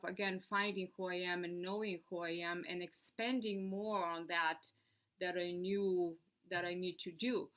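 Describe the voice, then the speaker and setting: A middle-aged woman from Bulgaria, an animated podcast guest who talks with her hands. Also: medium pitch. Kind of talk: casual interview chat.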